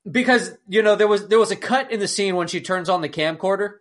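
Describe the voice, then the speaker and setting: A man's voice: high voice, fast. A man co-hosting a podcast, chatting casually about films in an exclamatory, incredulous way. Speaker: podcast co-host. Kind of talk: casual film discussion.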